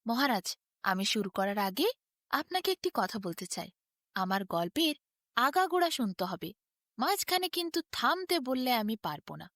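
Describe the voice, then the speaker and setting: A woman's voice: a little high, very animated, fairly bright tone, no room sound, studio quality. A woman voicing a lazy but crafty barber spinning tall tales in a sly, boastful way. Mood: respectful, cunning. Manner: sly, boastful, drawn-out storytelling.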